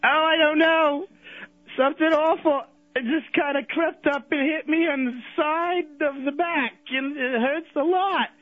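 A man's voice: steady tone, unhurried, no echo. A man voicing a sweet, doddering old man who calls in to a radio show, rambling fussily. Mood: pained. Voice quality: high, quavering old-man voice. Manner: fussy, rambling, says odd things. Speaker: caller. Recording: dry, dull radio broadcast.

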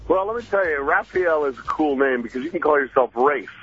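A man's voice: fairly high pitch, lively intonation, a little fast, fairly bright tone, slightly rough, dull audio, faint music behind. A man calling in to a radio show, eagerly retelling a comedy sketch. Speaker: caller. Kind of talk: phone-in storytelling.

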